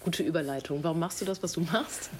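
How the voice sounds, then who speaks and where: A woman moderating a panel, asking questions and summing up the guests' points in a measured, affirming way. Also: deep voice, a little hiss or hum.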